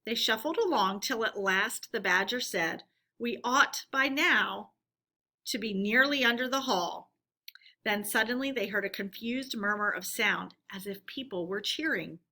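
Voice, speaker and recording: Bright-voiced woman reading a children's story aloud. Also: on the high side.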